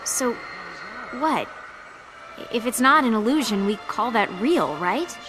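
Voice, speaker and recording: A woman's voice: on the high side, sing-song, slow pace, fairly bright tone, soft background music, exaggerated voice. A woman playing a brash, impatient, sarcastic young adventurer in a fantasy audio drama, with blunt, sassy delivery.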